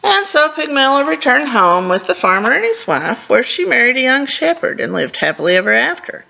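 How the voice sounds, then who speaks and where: A woman's voice: sits high, fairly quick, vocal fry, highs cut off, a little hiss or hum. A woman reading a picture book aloud for storytime, expressively, stumbling now and then and adding casual asides about the pictures. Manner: expressive, stumbling over words and commenting as she goes. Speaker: librarian reading a storybook to children.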